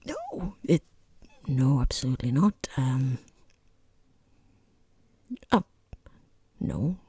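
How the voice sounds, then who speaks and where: Woman as sarcastic lady boss in an ASMR job-interview roleplay; hushed, creaky, mellow delivery, condescending and dry, recorded close and dry. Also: a bass voice, huge pitch swings, very slow, no echo, exaggerated voice. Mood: uneasy, disapproving. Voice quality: breathy, half-whispered. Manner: dry, sarcastic, hesitant politeness. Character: rude, condescending female boss interviewing a hapless job applicant. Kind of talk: soft-spoken ASMR roleplay.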